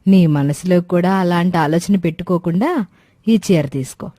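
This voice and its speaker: A caring, sincere rich wife from a village moral story, voiced by a woman. Her voice is low and very mellow, recorded dry. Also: moderate pace, no echo, natural voice. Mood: affectionate. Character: kind, caring wealthy landlord's wife.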